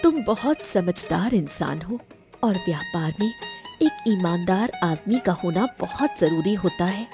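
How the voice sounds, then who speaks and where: A woman's voice: fairly low pitch, animated, a little slow, over music. A woman playing a supportive, wise village wife in a Hindi cartoon story, speaking in an earnest, reassuring manner. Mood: encouraging.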